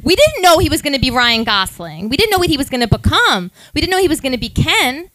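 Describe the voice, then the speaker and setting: A woman's voice: sits high, sing-song, fast talker, a bit bright, no room sound. A woman in her thirties, a podcast co-host, telling a long rambling story with lots of asides at a live event.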